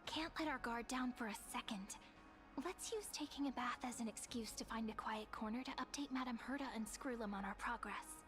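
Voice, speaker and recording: A woman's voice: fairly high pitch, a little fast, a bit bright, close to an everyday voice. An actress playing a cheerful, curious young adventurer woman in a video game, speaking expressively over background music. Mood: upbeat, conspiratorial. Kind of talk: video game character dialogue.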